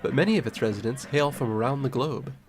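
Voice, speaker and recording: A man narrates a documentary in a measured, even way. His voice has a middle pitch. Loud music plays behind him. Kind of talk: scripted narration.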